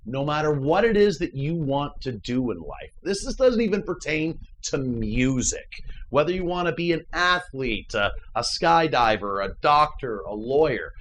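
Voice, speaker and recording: A baritone man talks to camera as a musician hosting his own channel, earnest and emphatic. His pitch swings widely as he tells his story, with a faint hum of noise underneath. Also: a bit bright, poor audio. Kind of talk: casual motivational chat.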